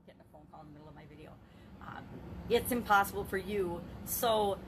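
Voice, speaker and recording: A woman's voice: mid-range voice, brisk, over music. An older woman talking candidly and off the cuff to camera as a business vlogger, rambling a bit, with emphatic phrasing. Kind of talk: unscripted talk to camera on a live video. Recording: clearly noisy background ambience.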